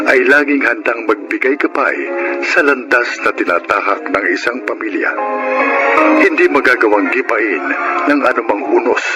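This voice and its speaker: A man's voice: mid-range voice, rough recording. A Filipino man giving solemn, formal scripted narration as the announcer of a radio drama. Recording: loud music under announcer.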